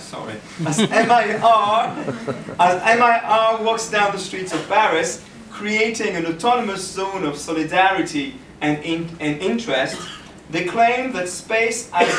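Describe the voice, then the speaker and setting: A man reading an academic paper aloud at a conference, halting at times and correcting himself as he stumbles. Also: high voice. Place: indoor conference room. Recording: echoey room, distant mic, faint noise.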